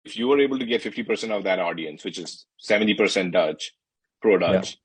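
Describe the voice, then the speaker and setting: A male interviewer with a low, husky voice asks loose, winding questions and stops to search for words. The sound is close and dry, with no room around it. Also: expressive, brisk, no echo, low-quality recording. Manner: asks long rambling questions with hesitations. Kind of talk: casual interview chat.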